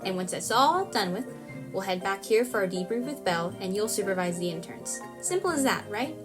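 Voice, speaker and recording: A woman voicing an energetic, enthusiastic female news director who rambles briskly in a comedic audio drama. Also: mid-range voice, close to an everyday voice. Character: booming, energetic female lead news director, bossy but friendly. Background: music bed.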